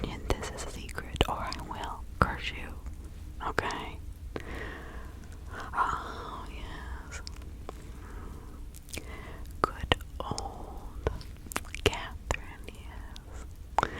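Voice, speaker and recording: Woman as secluded colonial witch, breathy whisper, slow pace, gossipy and cautious, confiding ASMR roleplay. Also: lightly acted, rough recording. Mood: secretive, wary. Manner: confiding, rambling, conversational. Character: reclusive 1600s village witch, gossipy, widowed, cautious fortune teller. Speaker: ASMR performer.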